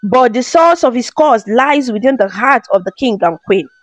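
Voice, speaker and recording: A woman's voice: a little high, lively intonation, normal speed, dry recording, highs cut off, faint music behind. A woman reading as a solemn chief priest. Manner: solemn, grave pronouncements.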